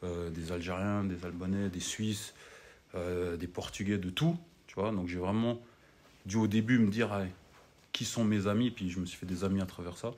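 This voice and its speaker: A young man from Cameroon, an ice hockey player, chatting as a guest in a relaxed way and often adding "tu vois". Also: sits low, normal speed. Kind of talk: casual interview chat.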